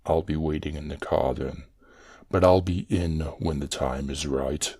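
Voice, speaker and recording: Villain uncle, audio drama, very deep, husky rasp, cold, dismissive, controlling, dry close sound. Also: measured pace, warm tone, no echo. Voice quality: hoarse, raspy. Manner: clipped, dismissive.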